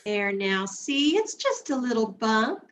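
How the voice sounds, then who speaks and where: A woman's voice: on the high side, sing-song, slow. A woman playing a practical, mildly scolding mother in a comic readers theatre, reassuring and matter-of-fact. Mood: soothing.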